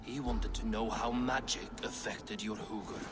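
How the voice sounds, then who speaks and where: An actor playing a gruff, foul-mouthed and sardonic dwarf master blacksmith in a video game, blunt and mocking as he haggles. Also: lowish voice, steady tone, unhurried, over music, lightly acted. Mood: blunt, scornful. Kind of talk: video game cutscene acting.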